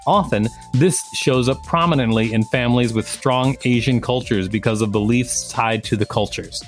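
Mid-pitched man reading over soft guitar music. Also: normal speed. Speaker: podcast co-host reading an encyclopedia article. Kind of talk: scripted narration read aloud, with brief casual commentary.

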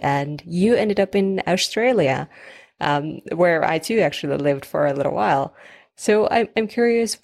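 A woman from Finland hosts an interview conversation and relates her own life to her guest's. Her voice is very low with a gravelly, fry-laden texture. She asks long, winding questions in a relaxed way. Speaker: interviewer host.

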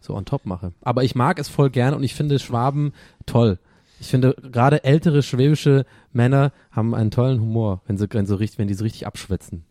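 A man's voice: mid-range voice, brisk, dark tone, no echo, clean studio sound. A man co-hosting a casual podcast, rambling and giggling, with a light Swabian touch to his German. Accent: light Swabian-tinged German. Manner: giggly, rambling, self-mocking. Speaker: podcast co-host.

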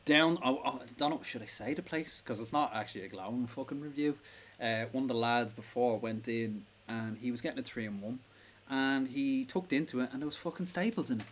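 A man's voice: medium pitch, brisk. An Irish man co-hosting a podcast, chatty and sweary, laughing often as he talks. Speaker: podcast co-host. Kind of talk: casual chat.

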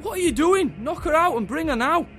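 Smug nasty agent in an audio drama, super animated pitch, bit of music under it. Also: male voice, fairly quick, exaggerated voice. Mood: furious, commanding. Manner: condescending, emphatic. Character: ruthless male government agent, smug, condescending, menacing.

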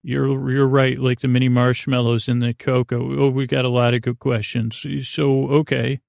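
A man hosting a podcast with a soothing, creaky voice, rambling in a halting, silly, whimsical way as if for bedtime. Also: medium pitch, flat delivery, a little mellow, no echo, clean studio sound. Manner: meandering, halting, silly and goofy. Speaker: podcast host. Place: podcast studio.

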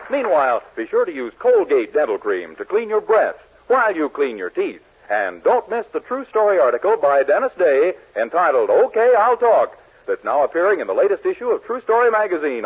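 American guy doing old 40s radio announcing, highish voice, punchy sales delivery, tinny broadcast sound. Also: husky. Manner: polished, emphatic sales delivery. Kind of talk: 1940s radio announcing, scripted.

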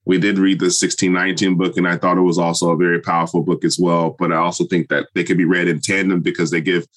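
A man's voice: low voice, flat delivery, fast, a bit bright. A man hosting a podcast, chatting with enthusiastic praise in a casual way. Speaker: podcast host.

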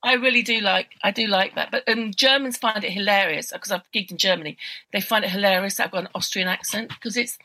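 A chatty woman comedian and promoter trading gig stories, laughing between lines. Her voice is bright and forward. The sound is dry and close. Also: mid-range voice, fast talker, dry recording. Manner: chatty, laughs often, self-deprecating anecdotes. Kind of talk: casual chat.

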